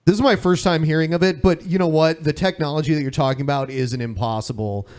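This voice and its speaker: A man's voice: a little high, fast talker, a little mellow, clear, resonant tone. A man co-hosting a podcast, chatting casually and giving his opinions in informal, sweary language. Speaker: podcast co-host.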